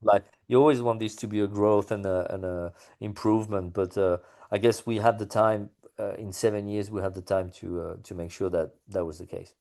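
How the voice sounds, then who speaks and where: A man's voice: fairly low pitch, fairly even intonation, a little fast, hardly any pauses, mellow. A man being interviewed about his music, talking casually with many fillers and restarts.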